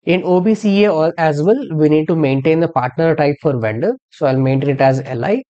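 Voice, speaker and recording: A software instructor narrating a step-by-step tutorial in an explanatory way, posing questions and then answering them. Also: medium pitch, a little fast, highs cut off. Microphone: screen-recording voice-over.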